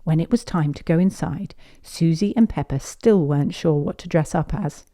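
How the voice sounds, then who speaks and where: A woman reading a children's picture book aloud as a storyteller, in an expressive, measured way. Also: low-pitched.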